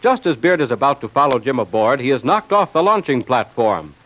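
A man's voice: medium pitch, big pitch swings, fairly quick. A man announcing and narrating an old radio adventure serial in a dramatic, emphatic style. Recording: muffled old radio broadcast.

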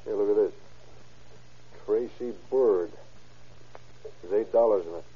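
A man's voice: fairly low pitch, unhurried, slightly rough. An actor playing a laconic, businesslike policeman in an old-time radio crime drama, clipped and matter-of-fact. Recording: muffled old radio recording.

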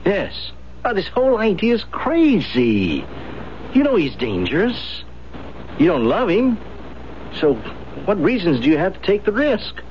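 An anxious, disapproving lover in a 1970s radio drama. His fairly high voice moves widely as he questions and reproves, heard on a dull, slightly noisy recording. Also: wildly swooping pitch, unhurried, long pauses, full character voice. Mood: alarmed, reproving. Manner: worried, questioning. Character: anxious, disapproving male lover of scheming wife.

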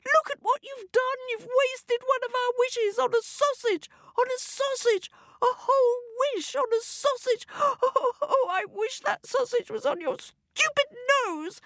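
A woman's voice: extremely high pitch, unhurried, hardly any pauses, no room sound, pitched above the performer's own voice. A woman voicing a hysterical, scolding wife from a storybook, wailing and exclaiming. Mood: scolding, furious.

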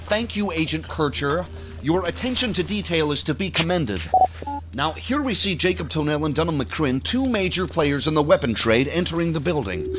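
An actor playing an officious, easily irritated male federal agent who briefs colleagues in a formal, measured, pompous way for an audio drama. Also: fairly high pitch, expressive, music in the background, close to an everyday voice. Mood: irritated condescension.

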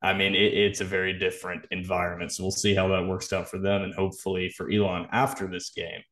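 Guy with a lowish voice, podcast co-host talking football, blunt and opinionated. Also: little pitch movement, fast talker, a bit bright. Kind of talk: casual opinionated football analysis.

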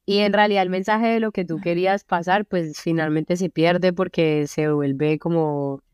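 A young Colombian woman with a Colombian Spanish accent, chatting casually as an interview guest, relaxed and full of fillers. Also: fairly low pitch, moderate pace, clean tone, no room sound, studio quality. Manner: relaxed, many fillers like "o sea" and "como". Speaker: singer-songwriter guest. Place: friends' apartment.

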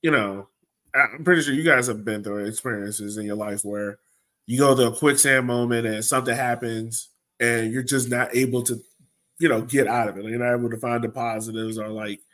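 Male podcast host, mid-pitched, casual and exasperated sports talk full of rhetorical questions. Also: a bit bright.

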